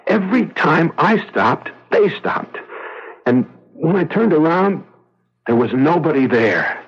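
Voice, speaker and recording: Haunted husband, husky, defensive, irritable, mid-pitched, vintage radio play, dull recording. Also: male voice, very animated, slow pace, full character voice. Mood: frightened, haunted. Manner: edgy, defensive. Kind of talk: 1970s radio drama acting.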